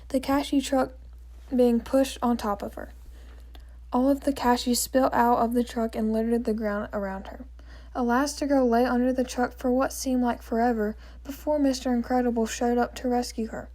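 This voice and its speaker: A woman with a fairly high, soft-edged, mellow voice reads a scripted story aloud as its narrator. Her delivery is plain and measured, like someone carefully reading a school project.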